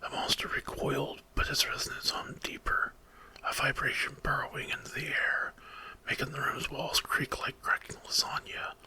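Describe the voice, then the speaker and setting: A man narrating a dramatic story in a hushed, breathy whisper, in ASMR style. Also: medium pitch, monotone. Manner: slow, dramatic, hushed reading.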